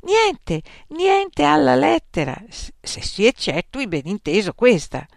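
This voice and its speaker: A reader voicing a calm, stubborn young male student who answers with serene, respectful firmness. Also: mid-range voice, takes it slowly, vocal fry, no room sound, clean studio sound, pitched above the performer's own voice, exaggerated voice.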